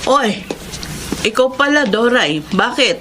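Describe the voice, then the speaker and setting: A woman's voice: fairly high pitch, animated, takes it slowly, fairly bright tone, a little hiss or hum. An actress playing a concerned, fretful older village mother-in-law in a Filipino radio drama.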